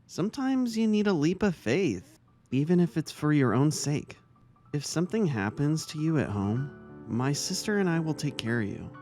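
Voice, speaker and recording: A man acting a kindly, caring male vice-principal and mentor in a dramatic story reading, speaking gently and earnestly. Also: a little high, big pitch swings, moderate pace. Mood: reassuring, caring. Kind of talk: dramatic reading of fan fiction. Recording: music under the voice.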